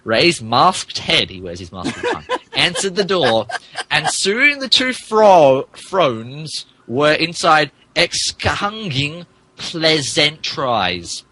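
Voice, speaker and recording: A man's voice: on the high side, sing-song, slow pace, bright, forceful tone. A man narrating a comic dramatic reading of fan fiction on a podcast.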